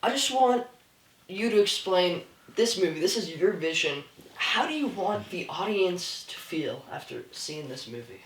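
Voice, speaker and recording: A man's voice: sits high, lively intonation, unhurried, fairly bright tone, recorded from a distance. A man moderates a casual discussion, posing questions. He is heard a little way from the mic in an echoey room, with faint noise and clicks behind him.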